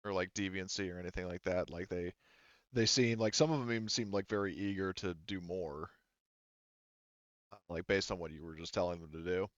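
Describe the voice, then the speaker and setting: A man running a tabletop game as game master, improvising narration in a casual, halting, conversational way on slightly dull audio. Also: low voice, steady tone, no echo.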